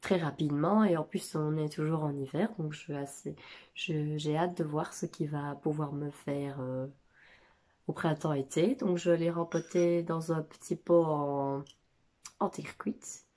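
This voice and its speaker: A woman in her thirties with a cold and a nasal voice, chatting casually about her houseplants at home, pausing often with filler sounds. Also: deep voice, fairly even intonation, warm tone. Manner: hesitant, many filler sounds. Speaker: plant vlogger. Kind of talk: casual chat. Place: home living room.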